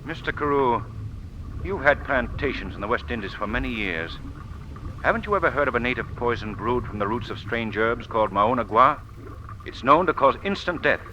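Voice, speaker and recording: An actor playing a calm, shrewd gentleman sleuth, measured and articulate in old-time radio style. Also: mid-range voice, highs cut off. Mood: grave, deliberate. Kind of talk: 1940s radio acting. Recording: noisy old radio drama recording.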